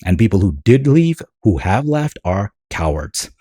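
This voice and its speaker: A man's voice: fairly low pitch, very animated, unhurried, mellow, slightly rough, no echo, clean studio sound. An American man ranting bluntly and combatively in a commentary vlog. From United States. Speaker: political commentator.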